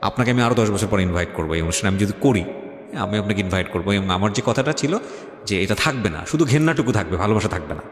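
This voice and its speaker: A man's voice: on the low side, lively intonation, fast talker. A man with a Bangladeshi accent hosting a radio talk show, insistent and emphatic, slipping English phrases into his advice. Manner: emphatic, insistent, argumentative, code-switches into English. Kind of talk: talk show interview, advising. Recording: echoey hall reverb, faint music. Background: faint background music.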